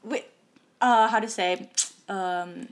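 A woman from Macau co-hosting a podcast, chatting excitedly and often pausing to search for words. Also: mid-range voice. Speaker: podcast co-host. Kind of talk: casual chat.

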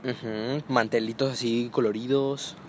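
A man's voice: mid-range voice, fairly even intonation, unhurried, a little mellow, a little hiss or hum. A man from Sonora with a northern Mexican accent, chatting casually and patiently explaining holiday customs. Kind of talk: casual chat.

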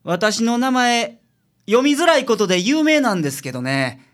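A man's voice: extremely high pitch, sing-song, normal speed, a bit bright, full character voice. A man playing a shrewd, blunt, laid-back male private detective with dry, probing delivery in a radio drama.

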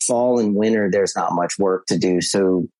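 A man's voice: low voice, normal speed, a little mellow, dry recording, poor audio. A man, a podcast guest talking easily about keeping bees and repeating words as he thinks. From South Louisiana. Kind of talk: casual interview chat.

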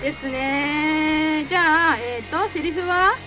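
A woman hosting a radio show with lively, playful banter over a card game, often repeating her words. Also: sits high, slow. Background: loud background music.

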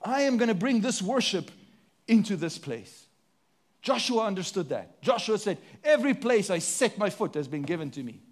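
A man with a South African accent preaching a sermon to his congregation, asking the room questions and swinging between emphatic and hushed delivery. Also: high-pitched, big pitch swings, moderate pace. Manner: preaching with sweeping rises and drops, rhetorical questions. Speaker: pastor.

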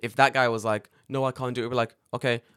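A man co-hosting a casual podcast, his voice a little raspy, telling stories with lively acted-out quotes and plenty of swearing. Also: medium pitch, quick pace, no room sound, studio quality. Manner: animated storyteller acting out quoted dialogue, swears freely. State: slightly raspy voice after karaoke. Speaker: podcast co-host. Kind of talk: casual chat.

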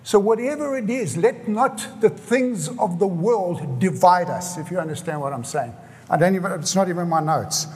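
A man's voice: sits high, animated, moderate pace, darkish tone. An older man from South Africa with a South African accent, preaching a sermon in an earnest way and repeating phrases for emphasis. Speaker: pastor. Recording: hall reverb.

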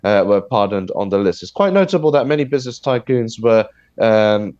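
A man with a cold, sounding nasal and husky, explaining news items on a video call with a steady delivery full of fillers. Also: lowish voice, brisk, no echo, muffled recording. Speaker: news commentator. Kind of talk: radio news discussion.

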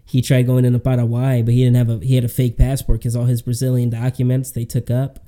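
A man's voice: mid-range voice, monotone, fast talker, mellow. A man co-hosting a podcast, chatting casually in a slangy, rambling way. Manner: slangy, rambling, says "man" and "dude" often. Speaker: podcast co-host.

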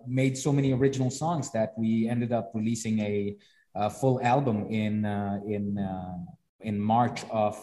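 Male guest, relaxed dark tone, loose talker full of "uh"s and restarts. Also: on the low side. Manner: rambling, frequent fillers and restarts. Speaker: musician guest. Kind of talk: casual chat.

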